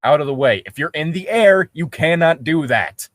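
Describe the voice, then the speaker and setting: A man's voice: fairly high pitch, animated, moderate pace, a bit bright. A young man mockingly reading fan fiction aloud and breaking off for sarcastic, sweary commentary. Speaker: reader and co-host.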